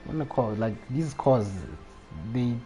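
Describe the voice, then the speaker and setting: A man with a mellow, mid-pitched voice streams a game. His commentary is casual and halting, half muttered to himself, with faint music behind. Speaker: video game livestreamer.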